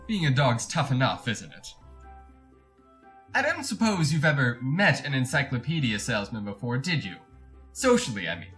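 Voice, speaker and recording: A man's voice: mid-range voice, a bit bright. Fussy, creepy salesman, wildly swooping delivery. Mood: genial, chatty. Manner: fussy, over-polite, wordy, theatrical. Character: chatty, creepy encyclopedia salesman, widower-like, bullying his dog. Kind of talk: retro 1940s radio drama monologue.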